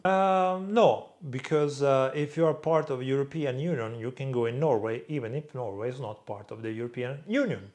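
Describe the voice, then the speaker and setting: A young man from Croatia speaks in a relaxed vlog Q&A. He answers fans off the cuff, bluntly, and swears freely. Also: medium pitch, normal speed, hardly any pauses. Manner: blunt, swears freely, reads viewer questions aloud in a louder voice. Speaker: vlog host answering viewer questions. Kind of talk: casual Q&A monologue.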